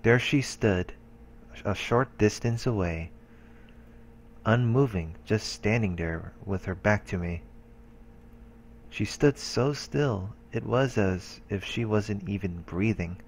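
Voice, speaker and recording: Deep-voiced man reading game text aloud. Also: takes it slowly, slight background noise. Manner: steady reading with casual asides. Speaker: let's play host.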